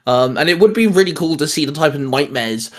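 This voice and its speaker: A man's voice: a little high, expressive, fairly quick, a bit bright. A man hosting a casual geek discussion, speaking informally and emphatically and repeating himself to stress a point. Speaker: host of a hobby video channel.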